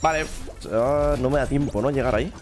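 A young man from Spain with a Spain Spanish accent, casually commentating his own gameplay with a lingering cough, speaking into a boom mic at home. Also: fairly quick, music in the background. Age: young adult. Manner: upbeat, chatty, addresses viewers directly. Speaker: gaming youtuber. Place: home gaming room with shelves of figures. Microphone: studio mic on boom arm, headset on.

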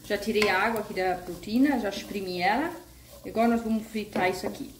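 A woman's voice: mid-range voice, fast, echoey room, background noise. A woman talking through a home cooking recipe step by step, chatty and homey, from her kitchen. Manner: chatty, homey, uses diminutives and fillers. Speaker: vegan cooking channel host. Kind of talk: casual step-by-step cooking tutorial.

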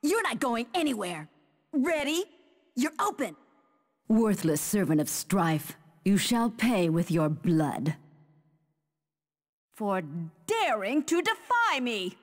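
Casting: proud, scornful female fighter for a game; highish voice, slow theatrical declarations, long reverb. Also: big pitch swings, exaggerated voice. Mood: proud, declamatory. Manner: haughty, theatrical, clipped declarations. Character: fierce female fighter taunting opponents in battle. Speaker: video game character voice. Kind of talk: dramatic fighting game battle quotes.

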